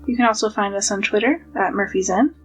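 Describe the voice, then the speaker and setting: A woman's voice: mid-range voice, a little fast, slightly rough, soft background music, rough recording. A woman reading scripted podcast credits as an announcer.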